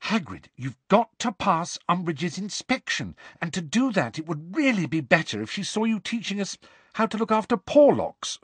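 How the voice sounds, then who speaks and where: Earnest schoolgirl, male audiobook reader: high pitch, anxious, articulate, pleading, determined. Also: very animated, measured pace, few pauses, a bit bright, no room sound, heavily acted. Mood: urgent pleading.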